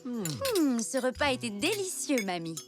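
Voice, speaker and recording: Mother zebra, children's cartoon: tender, caring, animated, fairly high. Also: a little slow, over music. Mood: pleased, gentle. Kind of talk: French children's cartoon dialogue.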